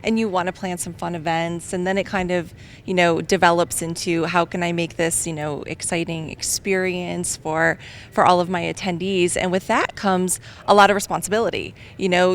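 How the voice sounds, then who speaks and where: A woman guest in an interview, talking in a conversational way with many you-knows and ums. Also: on the low side. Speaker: guest, field marketing manager. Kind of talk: interview answers. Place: trade show venue.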